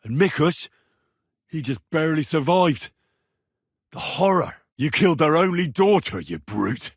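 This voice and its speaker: A man's voice: fairly high pitch, big pitch swings, unhurried, husky, no echo, studio quality, exaggerated voice. A man acting as a plain-spoken, righteous young medieval man in video game dialogue, direct and earnest in manner. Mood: accusing, angry. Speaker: voice actor.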